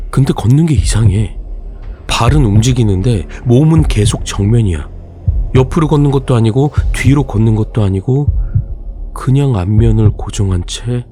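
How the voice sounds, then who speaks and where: A man narrating a ghost story in a casual, slow and suspenseful way. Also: lowish voice, mellow, slight background noise.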